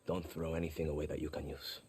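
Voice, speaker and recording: An actor playing a calm, steady young guerrilla recruit who speaks evenly with a dry edge. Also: male voice, very deep voice, fast, noisy background. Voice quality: calm and even, lightly wry. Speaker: guerrilla fighter.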